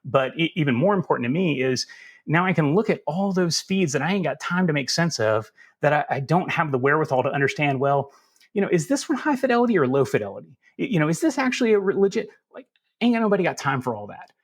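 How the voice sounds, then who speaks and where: A man with a Southern US accent, answering interview questions in a relaxed, folksy and self-deprecating way. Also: on the high side, fast. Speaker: security instructor as guest.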